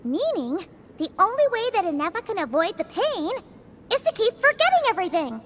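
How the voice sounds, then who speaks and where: A woman voicing a tiny, childlike, excitable fairy companion in a video game, chatty and squeaky, exclaiming as she goes. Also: brisk, noisy background. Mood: puzzled, working it out. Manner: speaks of herself in third person, exclamatory.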